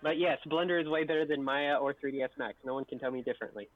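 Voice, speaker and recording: A man's voice: medium pitch, fast talker. A man chatting informally on a livestream, explaining things and giving his opinions. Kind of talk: casual livestream chat. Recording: thin, phone-like voice call sound.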